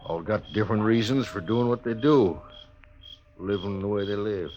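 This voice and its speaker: A man's voice: fairly low pitch, normal speed, a little mellow, dull audio, lightly acted. A man with a rural Western American accent playing a stubborn, fatalistic stagecoach station keeper in a 1950s radio western, laconic and blunt. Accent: rural American West. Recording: vintage radio with faint noise.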